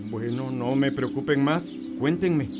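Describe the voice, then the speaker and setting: An actor playing a calm, kindly village priest in a radio drama, gentle and reassuring. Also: male voice, mid-range voice, a little slow, over loud music, close to an everyday voice.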